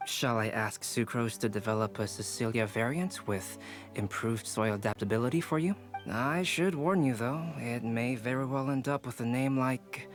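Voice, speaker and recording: A man's voice: medium pitch, lightly acted. A man voicing a serious young scholar in an anime game, speaking in a composed, formal and precise way. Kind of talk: anime video game voice acting.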